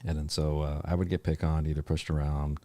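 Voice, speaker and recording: A man's voice: very deep voice, little pitch movement, fairly quick, mellow, dry recording, studio quality. A man hosting his own podcast livestream, chatting casually and rambling with lots of fillers and restarts. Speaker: podcast host.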